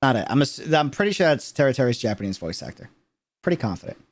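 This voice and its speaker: A man's voice: mid-range voice, very animated, fast talker, faint music behind. A man giving casual, slangy livestream reaction commentary, thinking aloud as he goes. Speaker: reaction streamer.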